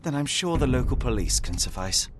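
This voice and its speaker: An actor playing a young, logical detective in a video game, dry, precise and dismissive. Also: male voice, medium pitch, sing-song, brisk, background noise, close to an everyday voice. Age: young adult. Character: young Sherlock Holmes, cool, logical, dry. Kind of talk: video game cutscene voice acting.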